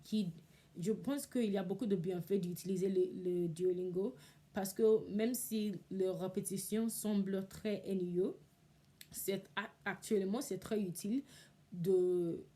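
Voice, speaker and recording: Slow, halting young woman learning French. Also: mid-range voice, fairly even intonation, mellow. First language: English. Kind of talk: casual talk to camera.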